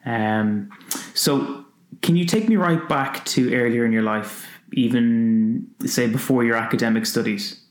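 A man's voice: mid-range voice, measured pace. Man hosting a podcast in an echoey room. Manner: hesitant, many fillers. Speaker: podcast host. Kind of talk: casual interview talk. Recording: roomy echo.

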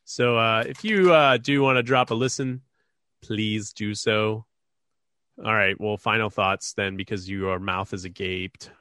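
A man's voice: lowish voice, a little slow, fairly bright tone, no echo, studio quality. A stuffed-up man hosting a casual sports podcast, rambling with lots of fillers. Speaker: podcast host.

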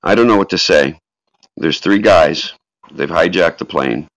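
A man voicing an anguished woman in danger, pleading and halting, in a dramatic reading of last phone calls. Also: low-pitched, fairly bright tone, no echo, low-quality recording. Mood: tearful, loving.

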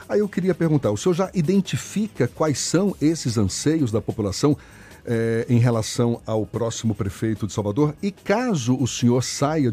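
A man's voice: medium pitch, mellow, breathy edge. A male interviewer who asks questions formally and addresses the guest respectfully. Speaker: radio or TV interviewer.

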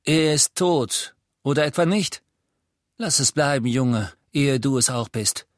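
A man voicing a bitter old male tavern keeper who talks bluntly and scornfully, often slowly and with weight. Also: mid-range voice, no room sound, studio quality, pitched above the performer's own voice, lightly acted. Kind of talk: audiobook character voice.

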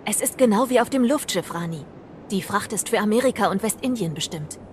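A woman's voice: expressive, brisk, lightly acted. An actress playing a loyal, watchful scout to an Indian queen in an audio drama, discreet and serious. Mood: wary, reporting. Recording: noisy rumbling background.

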